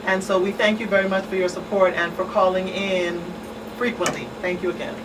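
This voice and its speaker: A woman with a medium-pitched voice talks conversationally as a radio panelist. She is some way from the microphone, and clear noise sits behind her. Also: flat delivery, brisk. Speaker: talk show panelist. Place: small radio studio. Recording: distant in studio, clear background noise.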